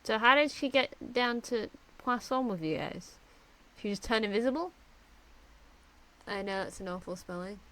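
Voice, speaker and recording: A woman's voice: mid-range voice, moderate pace, pauses often, a bit bright, poor audio. A woman streamer chatting casually and laughing as she reacts to a game. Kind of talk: casual gameplay commentary.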